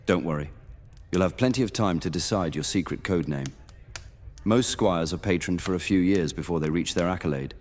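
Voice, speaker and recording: A man's voice: sits low, fairly quick, a little mellow. A man playing an earnest, welcoming knight who guides newcomers round a monster hunters' guild in formal, old-fashioned speech, in a fantasy roleplay drama. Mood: earnest, solemn. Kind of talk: immersive fantasy roleplay audio drama acting. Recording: long reverb, music bed behind.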